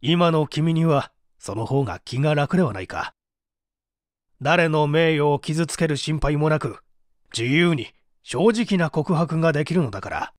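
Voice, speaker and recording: Radio drama prosecutor , played by a man: male, fairly high-pitched, courteous but probing, measured interrogation delivery, very dry sound. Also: lively intonation, no echo. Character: composed, formal male public prosecutor questioning a suspect. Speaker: voice actor reading a radio drama. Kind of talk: dramatized mystery reading.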